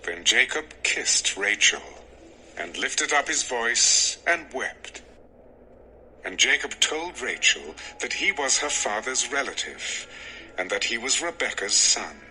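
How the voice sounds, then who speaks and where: A man's voice: medium pitch, big pitch swings, takes it slowly, sharp tone, slight background noise, poor audio. A man narrating scripture from a script in a dramatic storytelling style.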